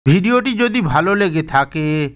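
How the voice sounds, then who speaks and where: A man telling a cartoon fairy tale in a measured, gently didactic way. His voice sits high for a man and comes across smooth and resonant. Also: lively intonation. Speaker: storyteller. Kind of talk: scripted storybook narration.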